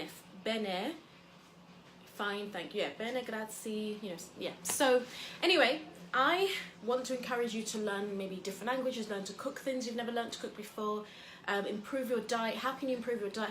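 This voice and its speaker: A woman's voice: medium pitch, normal speed, a bit bright, roomy sound, slight background noise, poor audio. A woman from England hosting a casual motivational talk. She talks in a chatty, rambling way with lots of fillers. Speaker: host.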